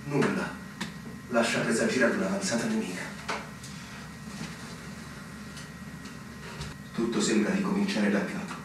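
An actor playing an ailing middle-aged army major in a literary radio drama, brooding and pleading in a theatrical manner. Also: male voice, lowish voice, fairly even intonation, fairly quick, background noise, natural voice. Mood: weary, resigned. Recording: distant, very echoey, music underneath.

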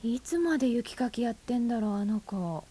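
A woman plays an independent aunt who teases and speaks her mind frankly. Her delivery is breezy, and her voice sits a little high. This is a radio drama scene. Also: lively intonation, brisk, background noise, lightly acted. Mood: bantering. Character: blunt, teasing independent aunt.

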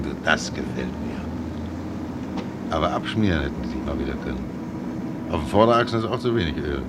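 Older male, very low voice, as a wistful, chatty ex-driver in radio drama; noticeable vehicle noise. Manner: chatty, affectionate, sentimental muttering to himself. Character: proud, wistful old ex-tram driver, stubbornly in love with his tram. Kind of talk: German radio play acting. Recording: clearly noisy, tram rumble behind the voice. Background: vehicle and ambience noise.

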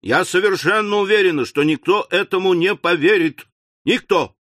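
A man voicing a tearful, indignant middle-aged lady who reproaches her husband in a shrill, self-pitying way. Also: big pitch swings, measured pace, sharp tone, dry recording, clean studio sound, exaggerated voice. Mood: aggrieved wailing. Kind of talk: audiobook reading.

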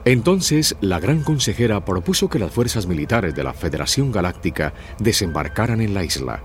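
Low, animated male storyteller. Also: normal speed, slight background noise. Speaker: narrator. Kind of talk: scripted children's story narration. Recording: music behind.

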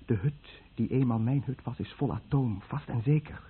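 A man's voice: mid-range voice, lightly acted. Solemn actor as a guilt-ridden fisherman, tinny recording. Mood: grave warning. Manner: solemn, deliberate, addressing listeners directly. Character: guilt-ridden Japanese fisherman, grave, confessing and warning his listeners. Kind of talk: radio drama monologue. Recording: old radio play, tinny narrow band, faint noise.

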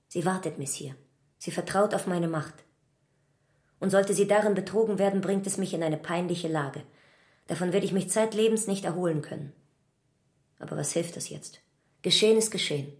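A woman's voice: lowish voice, a little mellow, echoey room, natural voice. An actress playing a proud, courteous woman with regal dignity in a radio play, speaking formally and earnestly. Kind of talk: German radio play acting.

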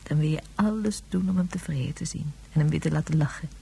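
An actress playing a gentle mother speaking softly and hushed at bedtime. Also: sits low, quick pace, vocal fry, breathy edge, full character voice. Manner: soft, hushed. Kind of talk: radio drama acting.

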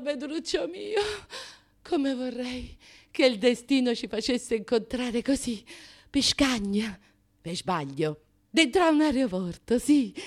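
A high, female Neapolitan voice carries a radio play monologue. She is a lovelorn, neurotic dreamer who confides in the listener with histrionic flair, moving between intimate murmurs and big theatrical outcries. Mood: dreamy, longing. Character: lovelorn, neurotic, melodramatic Neapolitan woman daydreaming of romance.

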